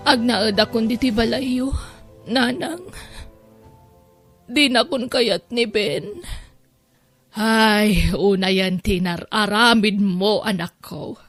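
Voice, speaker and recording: A woman with an Ilocano accent playing a remorseful wife who pleads tearfully and haltingly in an audio drama. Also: mid-range voice, faint music behind, natural voice. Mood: sorrowful, ashamed.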